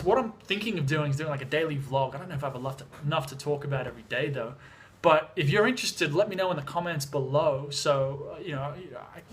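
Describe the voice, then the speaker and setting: Australian guy, young, a touch hoarse from a cold, slightly higher voice, loose chatty vlogger delivery. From Sydney, Australia. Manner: relaxed and chatty, many fillers and restarts. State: a bit hoarse from being sick. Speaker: music producer vlogger. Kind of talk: casual vlog talk to camera. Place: home in the mountains, moving between outdoors and indoors.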